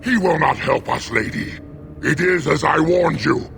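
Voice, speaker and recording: Very gravelly growl, fierce werewolf, forceful, wary, raised pitch, loyal guardian, video game. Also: male voice, a little slow, slight background noise, full character voice. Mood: wary, hostile.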